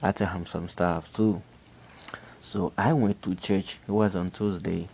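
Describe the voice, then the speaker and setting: A man from Haiti with a Haitian accent, telling a personal faith testimony in an earnest, halting way with frequent restarts. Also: low-pitched, fairly even intonation, creaky, a little mellow, slight background noise. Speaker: person giving a religious testimony.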